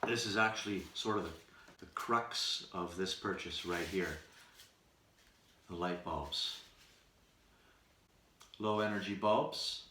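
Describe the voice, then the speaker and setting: Male vlogger, lowish bright voice, deliberate casual delivery, recorded off-mic in a roomy space. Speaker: vlogger, radio show performer. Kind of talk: casual unboxing commentary to camera. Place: room in his house. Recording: roomy echo, distant mic, faint noise.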